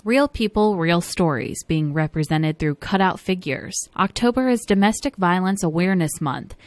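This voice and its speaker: A woman reads a scripted news report. Her voice is on the low side, in a dry recording with no room sound. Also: lively intonation, normal speed, dry recording.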